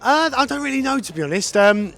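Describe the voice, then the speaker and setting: A man's voice: extremely high pitch, lively intonation, a little fast, creaky, a bit bright. A man in his twenties giving a hesitant, casual opinion as an interviewee. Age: 20s. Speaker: street interviewee.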